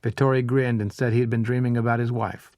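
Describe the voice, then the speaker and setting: Man, audiobook narrator, measured delivery, mid pitch, dry close recording. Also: little pitch movement, a little mellow, no echo. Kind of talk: scripted narration of a history book.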